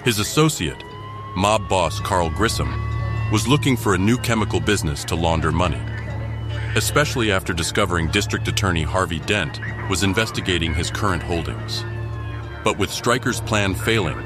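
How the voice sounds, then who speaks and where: Deep voiced guy narrating an audio drama, scripted story read, music behind. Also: lively intonation, moderate pace.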